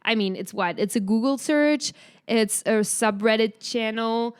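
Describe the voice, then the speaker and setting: Young woman, French accent, podcast co-host; smooth, clear voice, quick to laugh. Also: a little high. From France. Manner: laughs often, bubbly. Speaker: podcast co-host, company founder. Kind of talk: casual podcast chat.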